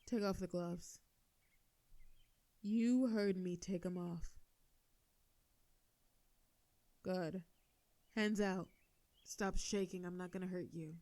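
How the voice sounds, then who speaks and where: A woman's voice: fairly low pitch, steady tone, warm tone. A woman playing a sardonic telepathic supervillain in a scripted audio drama, with a theatrical, teasing way of drawing out her words. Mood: gentle, commanding. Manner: theatrical, taunting, drawn-out words. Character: sardonic female telepathic supervillain, menacing yet softening.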